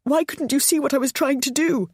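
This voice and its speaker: A woman's voice: mid-range voice, moderate pace, husky, dry recording, clean studio sound, voice pushed up, natural voice. A woman voicing a desperate older mother who sobs and pleads as her voice breaks. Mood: sobbing. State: sobbing.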